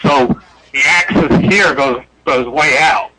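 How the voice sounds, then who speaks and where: A man's voice: a little high, takes it slowly, pushed and bright, rough recording. A man presenting scientific results at a conference in an informal, explanatory, unscripted way. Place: indoor presentation room with projected slides.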